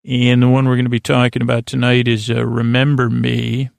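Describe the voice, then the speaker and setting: Gravelly-voiced man rambling to lull listeners. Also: medium pitch, monotone, fairly quick, no room sound. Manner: meandering, self-interrupting, many restarts; soft, lulling delivery. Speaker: podcast host. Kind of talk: rambling late-night sleep podcast talk.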